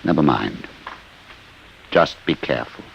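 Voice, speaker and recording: An actor playing a grim, obsessive older inventor, grave and intense, in a vintage radio drama. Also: male voice, a bass voice, expressive, unhurried, gravelly, muffled recording, full character voice. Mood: grave warning. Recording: noisy old radio recording with hiss.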